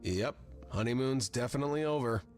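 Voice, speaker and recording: A man's voice: mid-range voice, a little fast, a little mellow, soft background music, natural voice. An actor playing a sardonic, down-on-his-luck engineer, muttering dryly to himself in a video game. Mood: dry, resigned. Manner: dry, muttering self-talk.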